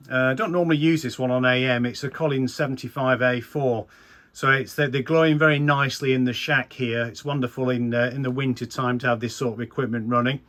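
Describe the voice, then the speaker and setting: A man with an English accent and a medium-pitched voice talks as a radio amateur. His delivery is conversational and keen, like one hobbyist chatting to another over the air. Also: fairly bright tone. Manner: chatty, enthusiastic. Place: small indoor radio room.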